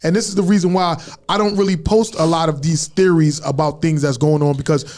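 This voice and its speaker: A man's voice: a little high, fairly even intonation, brisk, a little mellow. A man hosting a casual podcast, talking in a loose, rambling way with plenty of fillers. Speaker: podcast host.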